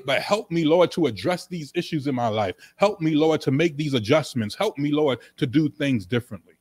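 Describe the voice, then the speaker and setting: A middle-aged man, a pastor, teaching from the Bible in an emphatic sermon style, repeating his points with animated gestures, recorded in a small indoor studio on a desk stand mic. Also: medium pitch. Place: small indoor studio room with couch and curtain. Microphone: desk stand microphone.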